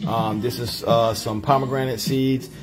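A man hosting a casual vegan cooking livestream from his home kitchen, talking to viewers as family in a relaxed, chatty way. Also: mid-range voice, a little slow, over music. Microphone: phone camera.

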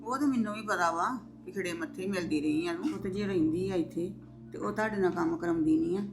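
A woman's voice: on the low side, quick pace, soft background music, close to an everyday voice. A woman playing an older mother-in-law who reasons firmly and soothes her daughter-in-law in a family drama. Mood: reasoning. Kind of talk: domestic drama acting.

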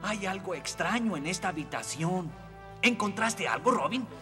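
A man's voice: high-pitched, expressive, normal speed. A man voicing a cautious, questioning boy in a cartoon adventure. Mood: wary. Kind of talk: dubbed animated adventure acting. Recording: long reverb, clear music behind.

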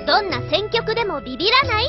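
A woman playing a cheerful, pushy teenage younger sister in an anime audio drama, brisk and coaxing. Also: very high-pitched, very animated, lo-fi sound, over music.

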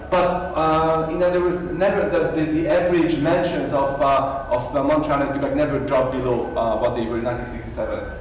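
A man's voice: a little high, slight background noise. A middle-aged man giving an academic conference talk at a podium, hesitant with many filler sounds and restarts. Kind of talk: academic lecture. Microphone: podium microphone, filmed from far. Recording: echoey hall, far from mic.

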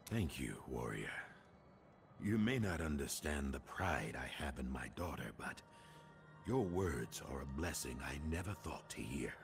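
An actor playing the ghost of a proud old warrior chieftain in a fantasy game, solemn and stately, with a gravelly voice. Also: male voice, a bass voice, very animated, a little slow, exaggerated voice. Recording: music behind the voice.

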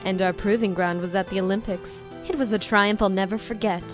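A woman's voice: mid-range voice, steady tone, brisk, faint music behind, natural voice. A woman playing a calculating, self-assured female scientist villain in an anime dub, with composed, coaxing delivery. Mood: proud. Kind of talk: dramatic anime dub acting.

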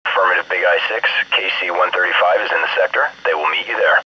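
A man playing a brisk military air-recon officer in a game, making clipped, authoritative radio calls. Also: low-pitched, husky, highs cut off. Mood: businesslike.

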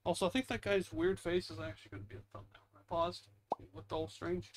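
A man's voice: high-pitched, fairly even intonation, brisk. A man giving casual, rambling, self-deprecating gameplay commentary as the host, muttering into a headset mic at home. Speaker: gaming video host.

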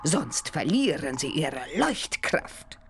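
A woman voicing a stately, mysterious adult witch in a cartoon game, theatrical and deliberate as she instructs. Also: low-pitched, sing-song, heavily acted. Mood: instructive.

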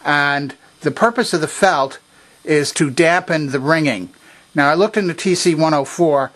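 A man's voice: fairly high pitch, moderate pace, fairly bright tone. An older man narrating a hands-on repair demonstration in a casual, unscripted, methodical step-by-step way. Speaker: presenter of a vintage electronics repair video. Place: indoor workbench.

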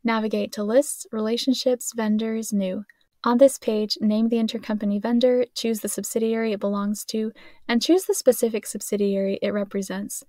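A woman's voice: on the high side, level tone, normal speed, darkish tone, no echo. A woman reading a scripted explainer as a tutorial narrator, instructional and precisely enunciated, in a close voice-over recording.

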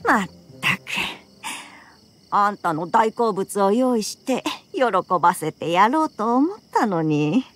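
Doting old granny, swooping pitch. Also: female voice, lively intonation, slow pace. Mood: grumbling. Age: older. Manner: folksy, chiding yet caring elderly speech. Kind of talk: Japanese anime game voice acting.

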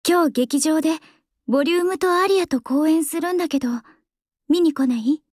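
Actress as a game idol singer: composed and soft-spoken, high and dry close-mic sound. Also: female voice, moderate pace, no room sound, lightly acted. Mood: calm. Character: young female idol singer, cool and earnest. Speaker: game character voice. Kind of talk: game voice lines. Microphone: close studio mic, dry.

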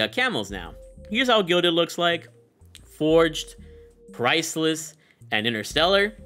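A young man gives casual commentary as a gaming video host. His delivery is very lively and slangy, in a bright, punchy voice a little lower than most. Faint music plays behind him. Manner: hyped, slangy. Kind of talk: casual commentary on a game showcase.

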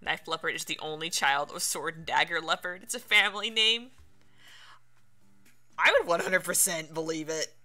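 A game streamer giving casual, playful let's play commentary with lively reactions. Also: medium pitch, normal speed. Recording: game music behind.

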